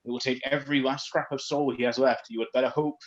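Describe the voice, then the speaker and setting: A man's voice: mid-range voice, fairly even intonation, fast, lightly acted. Guy doing a spooky grave ghost, strained throaty voice, dire warnings, comedy story, bit lo-fi. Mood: ominous.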